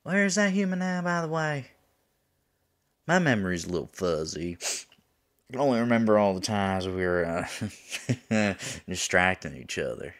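A man playing a teasing, flirtatious demon lover in an intimate ASMR roleplay, with a drawling manner that drops into breathy murmurs. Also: lowish voice. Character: teasing, flirtatious male demon lover, smug yet smitten.

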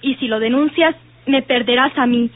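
Firm young Mexican daughter, high-pitched, vintage radio serial, phone-like fidelity. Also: female voice, moderate pace. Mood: firm, pleading. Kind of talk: vintage radio drama acting. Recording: tinny, narrow old radio recording.

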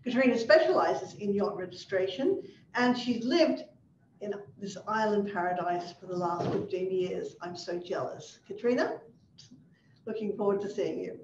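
A woman's voice: normal speed, a little mellow. A woman hosting a webinar on a video call, reading a friendly introduction of the next speaker. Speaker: webinar host. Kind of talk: introducing a guest. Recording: roomy, distant, dull audio with faint rumble.

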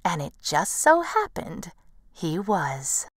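A woman's voice: fairly low pitch, big pitch swings, slow, dry recording, studio quality. A woman narrating a scripted story in a storybook style. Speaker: storyteller, voice actor.

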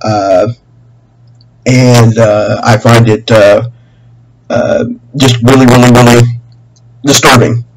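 A middle-aged man making a rambling, aggrieved and earnest complaint as a caller, halting and repeating himself in long winding sentences. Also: mid-range voice, fairly even intonation. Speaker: caller to a government office. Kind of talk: spontaneous phone complaint, rambling monologue. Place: small room at home, indoors. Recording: humming noisy home webcam recording.

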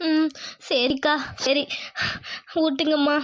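A woman's voice: sits high, flat delivery, slow pace. A woman voicing a meek, tender young daughter-in-law in a cartoon family drama, deferential and pleading. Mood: tearful, grateful. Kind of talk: animated village family drama acting.

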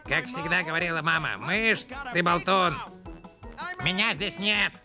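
A vain little cartoon mouse, chattering in a raised, gravelly voice. He is hammy and indignant, a self-styled great actor, with music underneath. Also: high-pitched, measured pace. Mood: chatty, theatrical. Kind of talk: animated cartoon dubbing.

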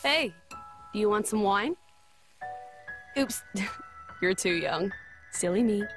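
A woman playing a sweet, doting young woman with a gentle, solicitous manner in a game cutscene. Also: mid-range voice, animated, slow, faint music behind. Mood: kindly, playful.

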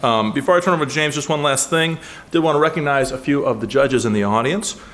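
A man's voice: medium pitch, quick pace, crowd noise. A man in his thirties hosting an event at a lectern, chatty and joking, stumbling over names as he welcomes guests. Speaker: event host. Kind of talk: casual opening remarks. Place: conference hall, at a lectern. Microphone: lectern microphone.

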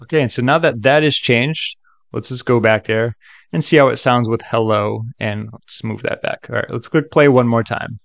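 A man talks through a software tutorial in a casual, chatty way. His voice sits at a middling pitch, and the sound is close and dry. He keeps an upbeat tone as he explains each step. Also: moderate pace, vocal fry, dry recording. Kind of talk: casual screen-recording walkthrough.

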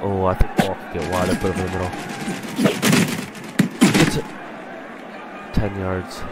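A man with a stuffy voice from a cold, commentating his own video game play and getting excited on big plays. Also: deep voice, measured pace, very noisy. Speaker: gaming channel host.

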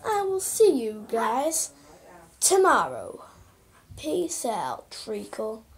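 A young boy playing a female TV newsreader, croaky and hesitant, full of ums and restarts, recorded on a close webcam in a small room. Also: very high-pitched, huge pitch swings, very slow, exaggerated voice. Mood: breezy. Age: child. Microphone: webcam at close range.

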